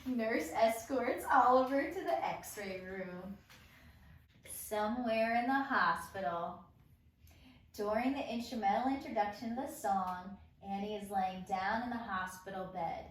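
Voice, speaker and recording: A woman's voice: mid-range voice, a little slow. A woman informally narrating stage directions as the leader of a script table read. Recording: echoey room, distant mic, faint music.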